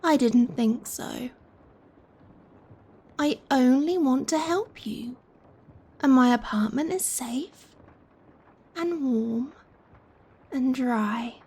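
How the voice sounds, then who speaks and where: A woman playing a gentle, possessive giant vampire in an ASMR roleplay, coaxing and softly commanding the listener. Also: high-pitched, heavily acted. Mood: reassuring, persuasive. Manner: slow, coaxing, softly commanding, breathy sighs and chuckles.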